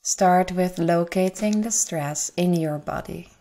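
A woman leading a guided meditation in a soothing, gentle, unhurried manner with long pauses. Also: fairly low pitch. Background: forest nature sounds with birds.

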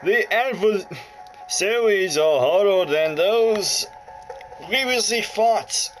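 Man putting on a high, formal woman spy chief. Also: expressive, rough recording. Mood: stern, mock-formal. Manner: slow, drawn-out, formal. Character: stern woman spy chief reading out orders. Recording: loud game music over the voice.

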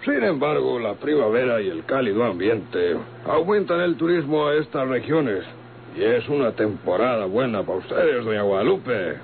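An actor playing a polite, well-spoken employee with bookish diction in an old radio drama. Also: male voice, medium pitch, expressive, moderate pace, creaky, a crowd in the background. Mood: polite, formal. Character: courteous, well-spoken, formal male employee. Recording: noisy, tinny old radio recording.

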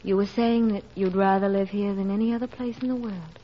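A woman's voice: mid-range voice, steady tone, fairly quick, natural voice. An actress playing an earnest, anxious, determined teenage girl in 1940s radio drama, pleading and melodramatic. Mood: cold, resolute. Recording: muffled, slightly noisy 1940s radio broadcast.

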